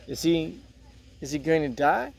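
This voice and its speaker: A man reading a play aloud as a sulky eighth-grade boy who gets excitable when upset. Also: fairly high pitch, unhurried, vocal fry, pitched above the performer's own voice, heavily acted. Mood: worried.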